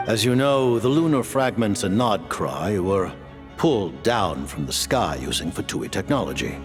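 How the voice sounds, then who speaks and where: A solemn ancient commander in a game cutscene, his voice very deep and gravelly. He rides up and down a lot as he lays things out in slow, weighty pronouncements, with soft music under him. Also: exaggerated voice. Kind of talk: video game cutscene voice acting.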